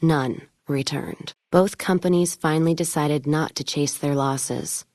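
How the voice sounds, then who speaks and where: A woman's voice: sits low, unhurried, a little mellow, no echo, clean studio sound. A woman playing a tough, blunt, hard-bitten caravan owner in a video game, with a sardonic manner. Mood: solemn, reflective. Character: tough, foul-mouthed, whiskey-drinking woman caravan owner of the wasteland. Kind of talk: video game character voice lines.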